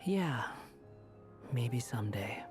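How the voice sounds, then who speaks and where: A woman playing a cool, supportive companion in an anime-style fantasy game, with a relaxed, understated delivery. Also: a bass voice, slow, heavily acted. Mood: wry, supportive. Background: game music.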